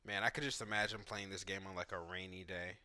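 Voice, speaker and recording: A man with a slightly low but bright, crisp-edged voice hosts a gameplay video. His commentary is casual and informal, as if thinking out loud while he plays. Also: steady tone, quick pace. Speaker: gaming video host.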